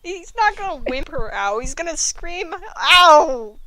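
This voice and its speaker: A woman with a high voice and a dry, close sound chats casually as she reacts to stories. She comes across as exasperated and sweary, in a comedic way. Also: sing-song, normal speed, fairly bright tone, no echo. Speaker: fanfiction reader and commentator. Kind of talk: casual comedic commentary with read-alouds.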